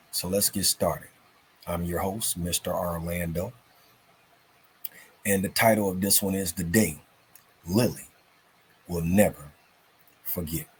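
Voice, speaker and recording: A man hosting and reading for a children's story show in an informal, folksy, unhurried way, with a husky, raspy voice. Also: sits low, rough recording. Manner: folksy, unhurried, addresses listeners as family.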